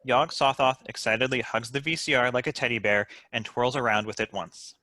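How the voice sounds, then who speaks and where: Bright-voiced man narrating a script. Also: medium pitch, fairly even intonation, a little fast, no room sound. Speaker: stage directions reader.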